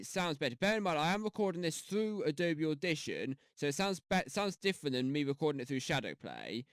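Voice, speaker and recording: A young-sounding man chats his way through a software tutorial, rambling with fillers and restarts. His pitch sits a little high and swings widely. He talks into a headset mic with a dry, close sound. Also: no room sound. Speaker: YouTube tutorial host. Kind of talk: casual screen-recorded tutorial. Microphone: gaming headset mic.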